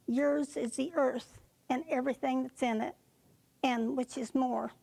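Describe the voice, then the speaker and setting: A woman reciting a poem for a reader's theater in deliberate phrases set apart by pauses. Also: high voice, steady tone, creaky, dark tone. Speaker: poetry reader.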